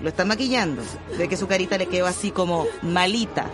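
An actor playing a condescending, falsely soothing and callous Chilean military officer in a radio drama. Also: male voice, sits high, fast, natural voice. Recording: loud music behind.

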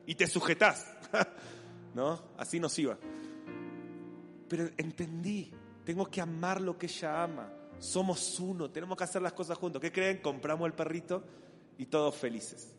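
Rioplatense male pastor, animated and playful sermon delivery, high-pitched, church hall with long reverb. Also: normal speed, pauses often, music in the background. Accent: Rioplatense Spanish. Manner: animated, acts out voices for a playful imagined dialogue.

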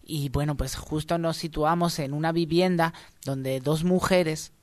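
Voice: mid-range voice, steady tone, a little slow, dry recording. A radio co-host in casual comic chat, playful and mock-dramatic while explaining things.

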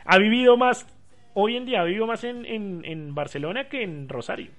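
High-voiced guy chatting sports, laughs a lot. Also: animated, a bit bright, soft background music. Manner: chatty, laughs often, lists quickly. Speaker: sports talk host. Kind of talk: casual sports chat.

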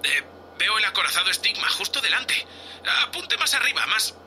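A man's voice: sits high, very animated, a little fast, sharp tone, a little hiss or hum, heavily acted. A man playing a nervous, hapless young spaceship crewman in an audio drama, stammering and hesitant. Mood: curious, focused. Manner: stammering, hesitant, breathy sighs.

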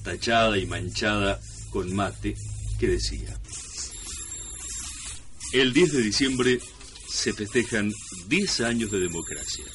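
A man giving a measured, mock-solemn scripted narration for a radio serial. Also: lowish voice, fairly bright tone, slight background noise, low-quality recording.

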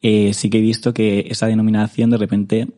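Hombre joven madrileño, voz grave y oscura. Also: male voice, fairly low pitch, completely flat delivery, brisk, warm tone. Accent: Madrid Spanish. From Spain. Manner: hesitant, many fillers and restarts. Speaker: guest photographer. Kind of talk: casual interview chat.

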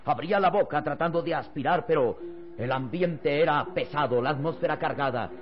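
A man's voice: a little high, brisk, faint music behind. Dramatic male radio serial narrator, dull recording. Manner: grave, emphatic.

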